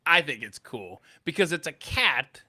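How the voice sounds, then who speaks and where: Male talk show host, slightly high, bright forceful voice, animated casual banter with repeated phrases. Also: a little slow.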